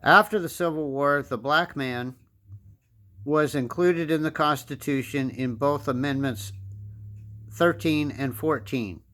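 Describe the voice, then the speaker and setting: A man's voice: on the high side, measured pace, a bit bright. An American man in his fifties giving an emphatic, lecturing opinion monologue as a political commentator, repeating phrases for stress. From United States. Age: 50s.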